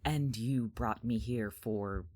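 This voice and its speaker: A woman's voice: rumbling low, slow pace, darkish tone, dry recording, full character voice. A woman acting a blunt, foul-mouthed, guilt-ridden young friend in a naturalistic audio drama. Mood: angry, incredulous. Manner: blunt, sweary, emotionally raw.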